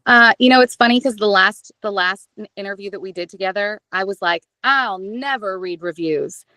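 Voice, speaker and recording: A woman in the middle of her range, chatting as an interview guest in a lively, self-deprecating way. She talks about her work with plenty of feeling. The sound is close and dry. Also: medium pitch, normal speed, no room sound. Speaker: audiobook narrator and guest. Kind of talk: casual interview chat.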